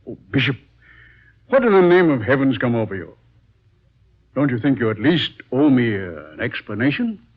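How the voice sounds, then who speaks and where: An actor playing a blunt, blustering publishing boss in a vintage radio drama, folksy and exasperated, with slangy exclamations. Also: male voice, mid-range voice, big pitch swings, moderate pace, long pauses, breathy edge. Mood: coaxing. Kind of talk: 1940s radio drama acting. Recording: dull, muffled vintage radio recording.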